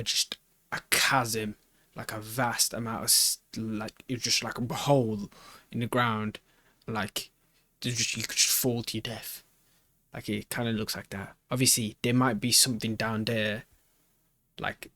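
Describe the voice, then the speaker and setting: A man's voice: medium pitch, pushed and bright, no room sound. A man hosting a reaction video with casual, slangy commentary, often repeating himself as he talks.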